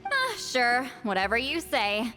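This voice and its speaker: A proud, grumpy shaman woman from an anime fantasy game, youthful and fairly high. The haughty, brusque delivery swings expressively in pitch and flusters easily, with faint music behind. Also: wildly swooping pitch, unhurried. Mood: flustered, defensive.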